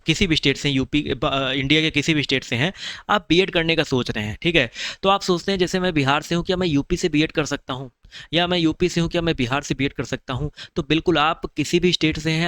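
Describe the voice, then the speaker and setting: A man's voice: a little high, fairly bright tone, dry recording. A man, explaining exam preparation in a chatty, reassuring video lesson and calling viewers brother. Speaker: exam-prep teacher.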